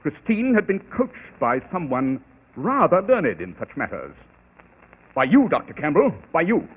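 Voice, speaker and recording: Brief: a cool, clipped detective, raised voice, lively swings, tinny old radio sound. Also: male voice, fairly high pitch, unhurried, slightly rough, full character voice. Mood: explaining. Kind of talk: 1940s radio acting.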